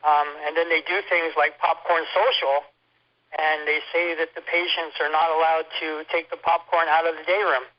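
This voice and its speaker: A man's voice: on the high side, level tone, normal speed. A man giving an informal first-hand complaint as a hospital patient, speaking over a telephone conference call. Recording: muffled lo-fi telephone conference line.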